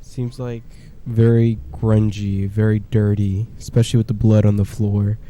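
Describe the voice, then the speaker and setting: A man's voice: on the low side, flat delivery, normal speed, dark tone, a little hiss or hum. A man giving casual voice-over commentary on a horror game, talking in a plain, conversational and slightly hesitant way. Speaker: gameplay commentator.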